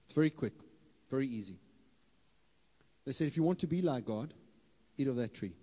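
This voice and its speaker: Pastor preaching in a reverberant hall. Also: male voice, medium pitch, moderate pace. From South Africa. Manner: animated, playful, rises to loud emphatic bursts. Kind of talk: sermon. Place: church hall stage with audience. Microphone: handheld mic. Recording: hall reverb, live church PA.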